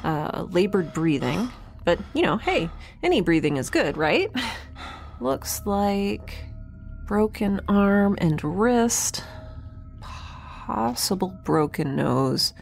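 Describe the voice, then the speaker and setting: A woman playing a young, geeky, kindhearted space scientist in an audio drama, recording an informal log in a halting, self-correcting way. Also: mid-range voice, very animated, vocal fry, heavily acted. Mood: concerned, reassuring.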